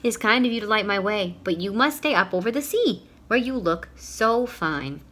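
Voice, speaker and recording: A woman's voice: medium pitch, animated, moderate pace, a bit bright. A woman voicing a silly, earnest, sentimental male owl from a children's storybook, with dramatic delivery. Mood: friendly, earnest.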